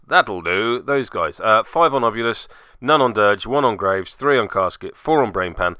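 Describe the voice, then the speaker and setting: A man's voice: lowish voice, pushed and bright, clear, resonant tone, no room sound, studio quality. A man hosting a tabletop game web series, giving chatty voice-over commentary with wry, cheeky asides. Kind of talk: casual scripted-feel commentary over game footage.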